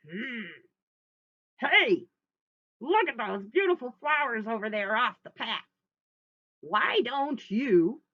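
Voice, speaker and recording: A woman voicing a sly, hungry male wolf from a storybook, with an exaggerated, drawn-out, wheedling and falsely friendly delivery. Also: fairly high pitch, sharp tone, dull audio, full character voice.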